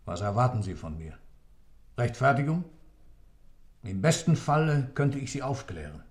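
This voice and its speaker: An actor playing an old accused man in a radio play, cryptic and proud, speaking in a measured, ironic, superior way. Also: medium pitch, sing-song, natural voice. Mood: proud, confiding. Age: older. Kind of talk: German radio play acting.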